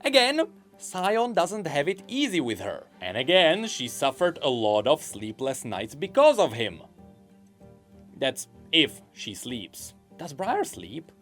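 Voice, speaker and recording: A man's voice: fairly high pitch, wildly swooping pitch, slow pace, fairly bright tone, faint music behind. A man commenting on game lore in a chatty, speculative voice-over.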